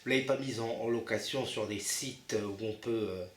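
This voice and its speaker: A man's voice: fairly low pitch, moderate pace. A man making an improvised prank phone call as a buyer, insistent and bossy, often cutting in. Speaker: prank caller posing as a car buyer. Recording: roomy echo, faint noise.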